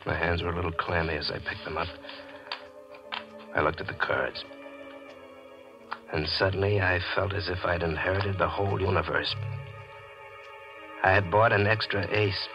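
Bitter american gambler in a 40s radio thriller, deep voice, tense dramatic delivery, dull old audio. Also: male voice, steady tone. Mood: tense suspense. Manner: tense, dramatic, deliberate storytelling. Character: Lester, bitter small-time gambler narrating his own downfall. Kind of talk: 1940s radio drama acting, first-person suspense narration. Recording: muffled old radio recording. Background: dramatic music.

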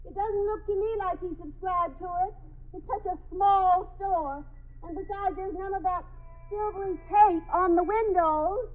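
A woman's voice: extremely high pitch, measured pace. An actress playing an anxious, insistent woman who is locked in, calling out and explaining herself in 1950s radio drama style. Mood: worried. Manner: calling out loudly, chattering explanations. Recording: muffled phone-like 1950s radio recording.

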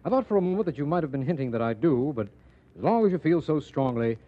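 An actor playing a composed, slyly teasing district attorney in a 1940s radio drama, measured and wry, on a muffled old recording. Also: male voice, on the high side, very animated. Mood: dry, teasing.

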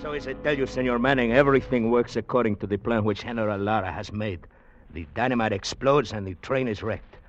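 An actor playing a secretive Mexican ex-revolutionary with a Mexican Spanish accent and halting English, in old-time radio drama style. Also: male voice, mid-range voice, lightly acted. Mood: intense, confiding. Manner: conspiratorial, halting non-native English phrasing. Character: secretive Mexican ex-revolutionary fugitive plotting gold recovery. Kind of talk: 1940s-50s radio drama acting. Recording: muffled vintage radio recording.

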